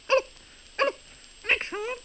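An actor voicing a cheeky, naive little animal in a children's radio play, squeaky and clipped. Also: fairly even intonation, slow pace, bright, forceful tone, full character voice. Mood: innocently cheeky. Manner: clipped, punctuated with a repeated little tic sound. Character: Joris, cheeky naive hungry little creature. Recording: dull old radio recording.

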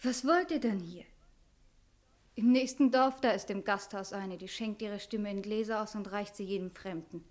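A woman's voice: medium pitch, normal speed, natural voice. An actress playing a very young, guarded and pert village inn girl in a radio play, giving pointed replies. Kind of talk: German radio play dialogue. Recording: echoey room, faint music behind.

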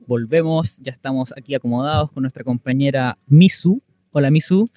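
A man's voice: medium pitch, fairly quick, loud music behind the voice. A man hosting a community radio show, presenting segments and reading aloud. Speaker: radio host.

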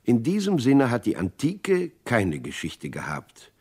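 A man's voice: medium pitch, huge pitch swings, a little slow, darkish tone, slightly rough, heavily acted. An actor playing an earnest Russian intellectual writer in a radio drama, setting out his convictions reflectively and emphatically. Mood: earnest, insistent. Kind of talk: literary radio drama monologue.

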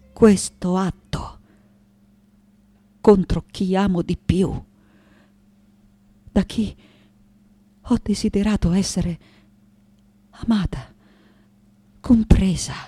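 A woman's voice: mid-range voice, close to an everyday voice. An actress in a radio drama playing an elderly woman artist, intense and wounded, in a dramatic theatrical monologue. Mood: hushed, wounded. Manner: dramatic, swinging from hushed to anguished.

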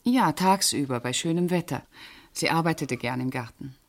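Low, lively, suspicious witness. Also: female voice, normal speed. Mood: guarded. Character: pointed, suspicious female witness, friend of the victim. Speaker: actor. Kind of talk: German radio crime drama acting.

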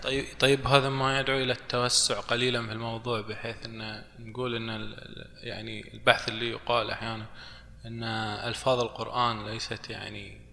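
A man's voice: mid-range voice, flat delivery, quick pace, vocal fry, sharp tone. A man on a panel, speaking hesitantly and searching for words. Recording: distant voice in a reverberant hall.

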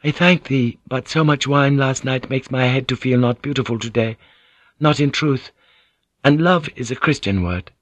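A man voicing a gentle, composed Japanese noblewoman in an audiobook, speaking in courteous, archaic phrasing. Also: mid-range voice, moderate pace, dry recording, voice pushed up, close to an everyday voice. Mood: coy, courteous.

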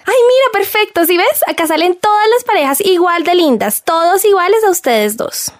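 A woman's voice: very high-pitched, lively intonation, normal speed, voice pushed up. A woman playing a bubbly, pushy young best friend with a Colombian accent in a radio soap. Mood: bubbly, teasing.

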